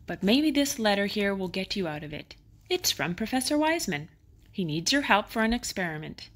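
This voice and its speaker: A woman's voice: mid-range voice, sing-song, a little fast. Lady doing a friendly storybook guy, caring pal, kind and reassuring. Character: kindly man, caring friend of a little monkey.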